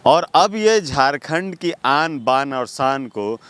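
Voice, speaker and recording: A man's voice: fairly high pitch, expressive, slow, a bit bright, smooth, no echo. A man hosting an informal live interview on location. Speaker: interviewer, host. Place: outdoors in a park.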